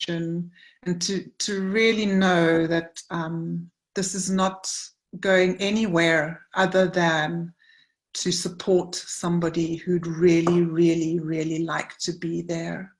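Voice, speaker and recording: A woman from South Africa hosting a women's retreat circle on a video call, gentle and earnest, often restarting her sentences. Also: fairly low pitch, level tone, slow pace. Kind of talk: informal online circle chat.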